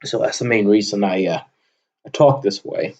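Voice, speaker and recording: A young man from Vermont with a loud, booming voice, talking off the cuff in an unscripted audio log, rambling with lots of filler words. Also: moderate pace. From Vermont, USA. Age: young adult. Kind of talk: unscripted casual monologue. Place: at his desk, indoors. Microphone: computer microphone on a desk.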